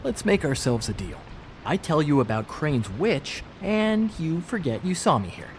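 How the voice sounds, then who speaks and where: An actor playing a slippery small-time thief in a video game, talking in glib, ingratiating, hedging patter. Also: male voice, fairly high pitch, very animated, measured pace, a little hiss or hum. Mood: sly bargaining.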